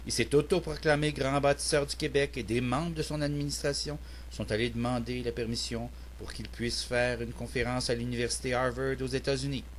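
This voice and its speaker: A man's voice: mid-range voice, steady tone, brisk. Male citizen commentator, Québécois accent, mid-range voice, earnest and declamatory, amateur recording with a faint hum. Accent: Québécois French. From Quebec, Canada. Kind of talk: prepared political opinion monologue.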